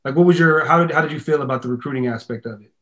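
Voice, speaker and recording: A man hosts a casual interview. His voice is a little higher than average for a man, and he tends to restart and reword his questions as he puts them to the guest. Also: rapid-fire, a bit bright. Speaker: podcast host, interviewer.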